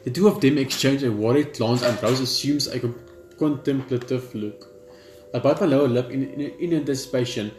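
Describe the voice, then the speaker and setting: A man hosting a gaming video reads on-screen story text aloud, haltingly, repeating words and adding casual asides. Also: mid-range voice, darkish tone, a little hiss or hum. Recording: echoey room with faint game music. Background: game music.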